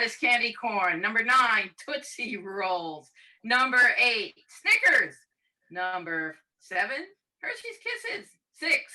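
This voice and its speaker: A woman's voice: fairly high pitch, pushed and bright. A woman playfully presenting a mock news countdown in short phrases over a video call. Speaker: club segment presenter. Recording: distant video-call microphone.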